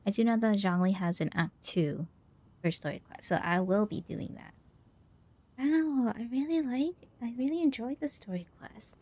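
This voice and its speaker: A woman's voice: a little high, normal speed, a little mellow. A woman doing casual livestream commentary as a game streamer, reacting in an informal, rambling way. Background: game music.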